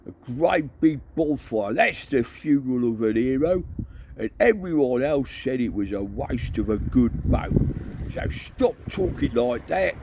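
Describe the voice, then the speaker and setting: A man reading aloud and voicing an old barbarian hero who talks loudly and gruffly. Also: medium pitch, big pitch swings, a little slow, slight background noise, voice pushed up. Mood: boisterous.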